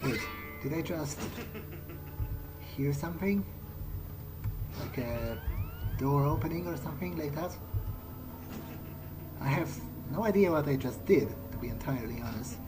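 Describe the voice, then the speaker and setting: A man's voice: fairly high pitch, animated, a little slow, long pauses, low-quality recording. A man doing in-character video game commentary, musing aloud in a hesitant, wryly understated way. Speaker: let's play gamer. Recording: game music behind the voice. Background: video game soundtrack.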